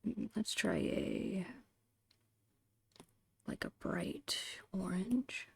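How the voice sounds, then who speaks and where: A woman whispering a casual, gentle ASMR drawing tutorial in a breathy, unhurried voice. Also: medium pitch, huge pitch swings, no echo. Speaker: ASMR host and digital artist.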